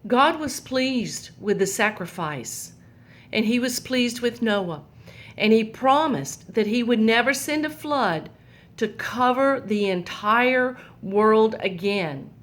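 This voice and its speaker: A woman telling a Bible story to young children, pointing out the pictures in a gentle, patient way. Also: on the high side, lively intonation, takes it slowly.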